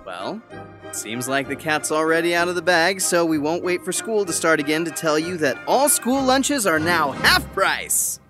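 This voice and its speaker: An actor playing a dramatic, enthusiastic and clueless school principal in a radio play. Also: male voice, fairly high pitch, expressive, normal speed, music in the background, lightly acted. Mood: jokey, announcing. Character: excitable, clueless, jokey high school principal, male.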